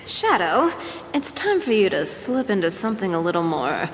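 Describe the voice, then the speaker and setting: A woman's voice: mid-range voice, very animated, moderate pace, a little hiss or hum. A woman playing a smug, theatrical crew leader of thieves in an audio drama, teasing and self-assured. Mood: smug, playful. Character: suave, playful female master thief, crew leader.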